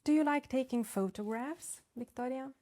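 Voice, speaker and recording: A woman acting as a speaking exam examiner, reading set questions in a measured, formal way. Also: high-pitched, dark tone.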